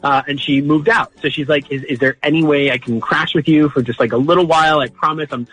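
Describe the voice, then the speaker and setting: Hesitant, raspy-bright male radio caller. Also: a little high, fairly even intonation, poor audio. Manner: hesitant, halting, earnest. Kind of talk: casual phone confession on a radio show.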